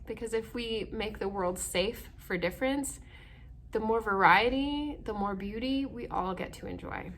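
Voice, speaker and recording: Slow-paced woman reading to young children. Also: medium pitch, a little hiss or hum. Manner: gentle, simple explanations with frequent questions to the child listener. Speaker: story time host. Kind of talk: children's read-aloud with asides to young listeners.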